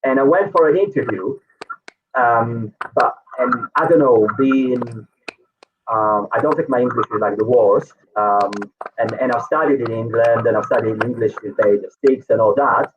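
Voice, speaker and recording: A man's voice: mid-range voice, normal speed. A man in his thirties from Israel with an Israeli accent, a guest in an interview, telling his story casually with many fillers and restarts. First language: Hebrew. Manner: rambling, lots of fillers and restarts. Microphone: video call. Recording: distant, tinny call audio with faint noise.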